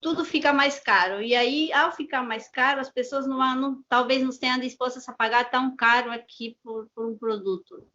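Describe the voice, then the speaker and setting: A woman's voice: sits high, pushed and bright. Peruvian woman, strong Spanish accent. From Peru. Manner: unhurried, halting with restarts and repeated words. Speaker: guest. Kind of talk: casual interview chat. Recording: dull lo-fi sound.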